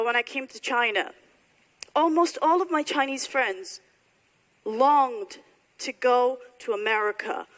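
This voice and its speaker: A woman's voice: high voice, fairly bright tone. A woman from Somalia giving a public speech to graduates, speaking emphatically and building points through rhetorical repetition. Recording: hall reverb, faint music.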